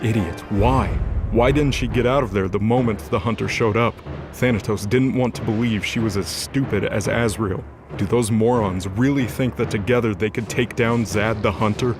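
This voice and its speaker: Low-pitched male narrator over music. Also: normal speed. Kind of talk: dramatic scripted narration.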